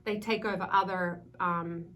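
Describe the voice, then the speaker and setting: A woman's voice: fairly low pitch, fairly even intonation, measured pace, a bit bright. A middle-aged Australian woman, a wine importer, explaining things in a friendly casual chat with plenty of fillers.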